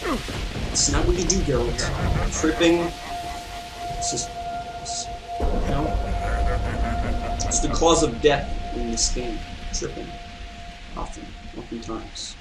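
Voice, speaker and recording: Casual young man gaming over loud music. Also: fairly high pitch, takes it slowly, long pauses. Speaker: gaming video host. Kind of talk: casual let's play commentary. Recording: slightly distant mic, loud game music. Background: loud video game music.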